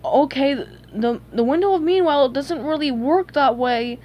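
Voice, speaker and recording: A woman voicing a mystical, laid-back male talking tumbleweed with a measured, cryptic way of speaking. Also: high-pitched, lo-fi sound, voice pushed up, natural voice. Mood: exasperated. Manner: cryptic, measured, mildly exasperated.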